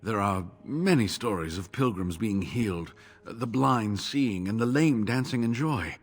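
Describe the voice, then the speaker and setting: An earnest male scholar from a fantasy game, his deep voice husky and grained. He speaks in formal, measured sentences, devout and driven by his life's work. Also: lively intonation. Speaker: game character. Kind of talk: voiced video game dialogue.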